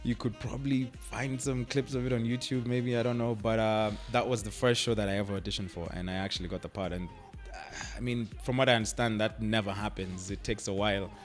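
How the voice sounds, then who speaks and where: Laid-back Kenyan man, low voice. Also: fairly quick, soft background music. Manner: relaxed, laughs often, uses "like" and "I mean" a lot. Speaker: actor guest. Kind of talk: radio interview, casual chat.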